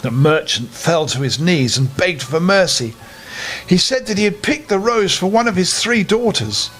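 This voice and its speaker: A man's voice: sits high, normal speed, soft background music. An older man reading a fairy tale expressively to children as a storyteller, with friendly asides. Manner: animated, with friendly asides to young listeners.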